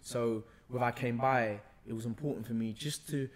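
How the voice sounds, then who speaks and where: A man's voice: medium pitch, fairly even intonation, normal speed. Young London man telling stories casually. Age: young adult. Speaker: actor, podcast guest. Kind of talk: casual conversational storytelling. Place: bright indoor room, seated on a sofa. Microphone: podcast microphone on a boom arm, close.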